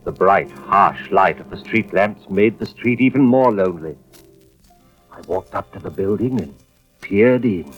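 A muffled vintage radio recording with music carries a weary, anxious husband. He has a lowish voice and tells his suspense story in a measured, dramatic first-person style. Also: animated. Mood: tense, brooding. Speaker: narrator and lead actor. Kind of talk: 1940s-50s radio suspense acting.

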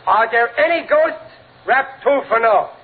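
A man's voice: very high-pitched, animated, a little slow. An American man playing a blustering, joking working-class father in 1940s radio comedy, with folksy, ungrammatical talk. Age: middle-aged. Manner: folksy, ungrammatical, chuckling wisecracks. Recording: tinny, noisy 1940s radio recording.

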